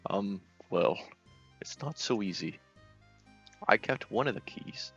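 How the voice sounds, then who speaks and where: Aging bumbling knight acted by a man, husky and gravelly, blustering, sceptical, fantasy game character. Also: lowish voice, expressive, slow, a bit bright, muffled recording, soft background music, heavily acted. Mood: sheepish.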